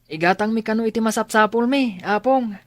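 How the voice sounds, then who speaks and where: A woman's voice: on the high side, brisk, no echo, close to an everyday voice. A woman playing a polite, cheerful young school pupil in an Ilocano radio drama, respectful and eager. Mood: respectful, glad.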